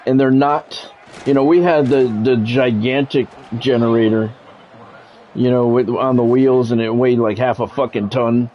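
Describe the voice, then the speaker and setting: A man's voice: mid-range voice, fairly even intonation, a little slow, lo-fi sound, slight background noise. A middle-aged man doing live football game commentary mixed with casual chat, talking in a blunt, profane, conversational way. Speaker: game streamer and play-by-play commentator.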